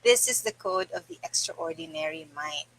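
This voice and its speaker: A woman's voice: low voice, wildly swooping pitch, normal speed, creaky, a bit bright, a little hiss or hum, low-quality recording. A middle-aged woman reading aloud for a live stream, with casual asides to her viewers. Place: small indoor room with bare wall.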